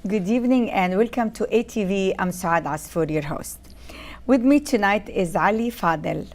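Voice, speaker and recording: A woman's voice: medium pitch, a little mellow. A woman from Jordan hosting a TV interview, who often slips in brief affirmations while her guest talks. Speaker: TV host.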